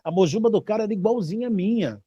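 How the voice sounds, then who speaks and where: A Brazilian man in his fifties talks at length as a teacher, his voice warm and mellow yet pitched a little high. He stresses points by repeating himself and tells stories with lively emphasis. The sound is dry and close, with no room echo. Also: rapid-fire, breathy edge, lo-fi sound. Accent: Brazilian Portuguese. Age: 50s. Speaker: babalawo teacher. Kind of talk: casual explanatory talk.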